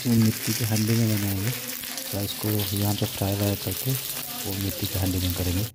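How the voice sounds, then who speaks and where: A man's voice: lowish voice, level tone, normal speed. A man in his thirties from Odisha with an Odia accent, explaining things casually and repetitively as he narrates a vlog on a handheld phone. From Odisha, India. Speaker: village vlogger. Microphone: phone at arm's length. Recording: noisy handheld phone recording.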